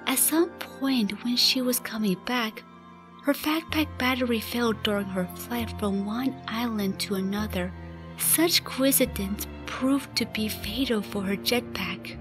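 A woman with a fairly high voice narrates a scripted radio play. Music plays clearly behind her storytelling. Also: a little slow.

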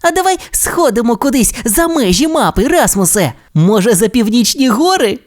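An excitable little dinosaur boy from a storybook, voiced by a woman. The voice is high and very animated. He is eager, fearless and pushy, forever coaxing and exclaiming. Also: normal speed.

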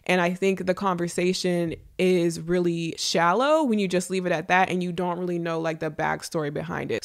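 A young American woman with a congested, nasal voice, chatting casually and directly to listeners as a solo podcast host, close on a studio mic. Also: on the low side. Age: late 20s to early 30s. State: congested with a runny nose. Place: small indoor room with shelving. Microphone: close studio podcast microphone with headphones.

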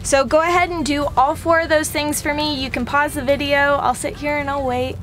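A woman's voice: high-pitched, level tone, fairly quick, a bit bright. A young woman chatting casually and informally to the camera as a vlog host, recorded inside a truck cab. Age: young adult.

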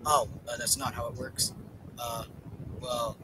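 A man voicing a goofy male TV news anchor who jokes, rambles and fills pauses with "uh". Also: mid-range voice, little pitch movement, noisy background, pitched below the performer's own voice, lightly acted. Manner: jokey, self-mocking, rambling with fillers.

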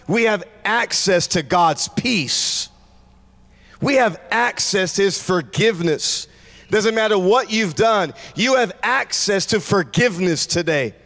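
A man in his forties preaching a sermon in a large church, speaking into a handheld mic with fervent, shouting delivery. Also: high-pitched. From United States. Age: 40s. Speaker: pastor. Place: large church stage with congregation.

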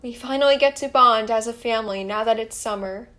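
A woman playing a patient but firm mother, soothing and gently scolding, in an amateur doll stop-motion story. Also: on the high side, brisk, natural voice. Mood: reassuring. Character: patient, firm, caring mother of two daughters.

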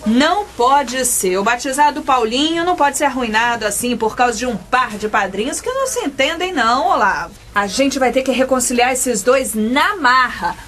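High, animated, bossy Brazilian wife. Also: female voice, normal speed, hardly any pauses. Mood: determined, scheming. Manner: emphatic, coaxing, pushy. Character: bossy, scheming, determined young mother and wife. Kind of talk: comic radio soap acting.

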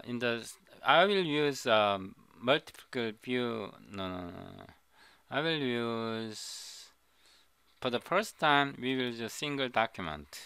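A man's voice: mid-range voice, gravelly, bright, forceful tone, clear, resonant tone, no room sound. A middle-aged man, a native Korean speaker, gives a coding tutorial over a screen recording, often repeating and restarting his words. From South Korea. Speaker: programming tutor.

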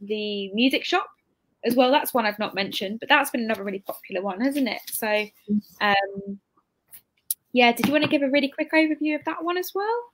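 A woman's voice: fairly high pitch, lively intonation, fairly quick, fairly bright tone, poor audio. A woman in her twenties hosting a casual live interview over a video call, chatty and effusive. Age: 20s. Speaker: interviewer, bookshop event host. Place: indoor room at home, on a video call.

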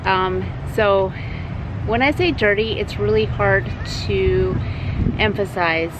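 A woman in her thirties with a light, soft voice, chatting casually into a handheld camera as she vlogs from a van and a parking lot. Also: medium pitch, slow pace. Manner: chatty, laughing, tour-guide asides. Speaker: vlogger. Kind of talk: casual travel vlog. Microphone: handheld camera mic. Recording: loud outdoor noise.

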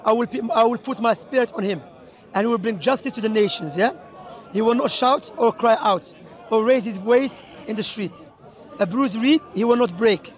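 A man with a very high voice argues insistently in a busy outdoor park, often repeating words and reading scripture aloud. He is picked up on a handheld camera close by, with a crowd murmuring around him. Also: normal speed. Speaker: street preacher debating a passer-by. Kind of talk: street debate. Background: crowd chatter.

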